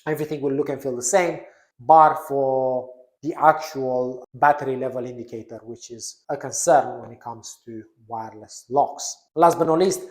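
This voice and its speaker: A man giving an explanatory, semi-scripted product update as a presenter. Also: mid-range voice. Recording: roomy echo.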